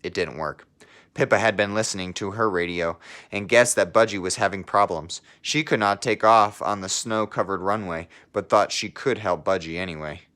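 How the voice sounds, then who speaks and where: A man with a fairly low voice reads a children's story aloud. He narrates in a measured, teacherly way with pauses and slips into casual talk to his young listeners. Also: sharp tone.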